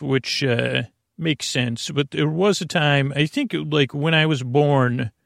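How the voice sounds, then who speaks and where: A man from the United States, hosting a sleep podcast with a meandering monologue full of filler words and tangents, in a creaky voice. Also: medium pitch, no echo, studio quality. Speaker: podcast host.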